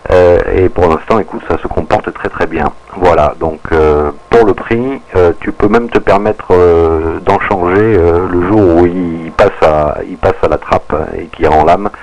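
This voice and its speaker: A man's voice: low voice, measured pace, few pauses, a little mellow. A man talks casually over amateur radio. He feels his way through sentences and repeats phrases. The audio is dull and lo-fi. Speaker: amateur radio operator recording the contact. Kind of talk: casual ham radio chat.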